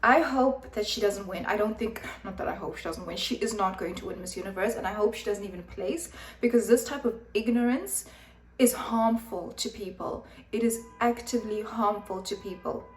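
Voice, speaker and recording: A woman's voice: mid-range voice, normal speed. A young woman from Zimbabwe who grew up in South Africa, giving frank, emphatic opinion commentary with many fillers. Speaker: vlogger. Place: room with background noise. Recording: echoey room, voice from a distance.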